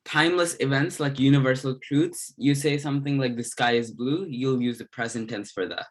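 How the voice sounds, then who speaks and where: Casting: male tutor with a medium-pitched voice, explaining a lesson step by step in halting, broken-up phrases. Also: fairly even intonation. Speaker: Spanish grammar tutor. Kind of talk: slide-based language lesson, explanatory.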